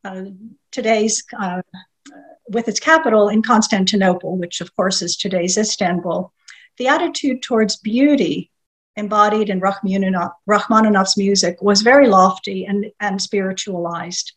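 Older woman, Russian native speaker, unhurried lecturer with many pauses, speaking over a webcam. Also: medium pitch, poor audio. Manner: measured, with frequent filler pauses. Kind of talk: online lecture. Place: home study with bookshelves. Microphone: webcam video call.

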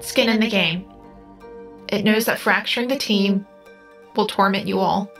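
A calm, selfless female AI, voiced by a woman in an audio drama, precise and measured. Her pitch sits in the middle, over clear background music. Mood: grave, formal.

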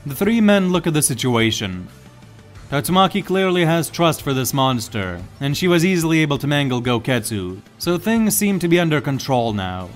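A man's voice: a little high, very animated, moderate pace, soft background music. A man reading scripted, dramatic story narration as the narrator.

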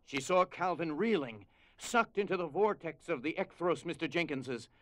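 A man narrating a scripted drama as storyteller, with grave, deliberate phrasing. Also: a little high, animated, a bit bright, no echo.

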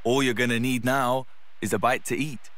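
Man playing a breezy British young hero, bright voice. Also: mid-range voice, fairly even intonation, normal speed, no echo, close to an everyday voice. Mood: firm, reassuring. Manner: breezy, colloquial, reassuring. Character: laid-back, cheerful young male resistance fighter hero. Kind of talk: video game cutscene acting.

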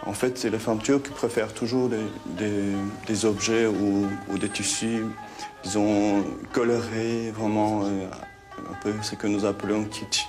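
A man speaking in a measured, explanatory way in a documentary interview, often repeating words as he goes. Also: lowish voice, little pitch movement. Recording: music playing under the voice.

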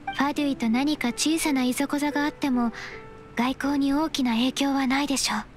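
An actress voices an earnest young secretary from an anime game. Her high, youthful voice is formal, measured and firm, with polite sternness. Faint music sits behind her. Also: steady tone, lightly acted. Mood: earnest, wary. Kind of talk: Japanese anime-style game voice acting.

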